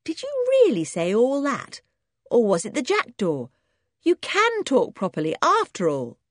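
A woman voicing an eager, excitable schoolboy full of curiosity. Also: a little high, slow, breathy edge, no room sound, clean studio sound, voice pushed up, exaggerated voice. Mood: amazed.

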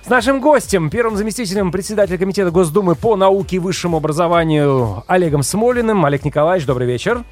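Fast-talking high-voiced male radio host. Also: animated, faint music behind. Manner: brisk, reads introductions. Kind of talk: radio show hosting.

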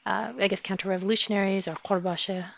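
A woman from Afghanistan, a guest in an interview, telling her story thoughtfully with many pauses and fillers. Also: mid-range voice, fairly even intonation, vocal fry, dry recording. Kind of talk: interview conversation. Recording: dull lo-fi sound.

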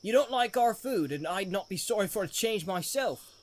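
A man's voice: sits high, animated, normal speed, pitched above the performer's own voice. A man voicing a loyal, plain-spoken hobbit servant with blunt, homely, rustic speech in a storybook reading. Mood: brisk, teasing.

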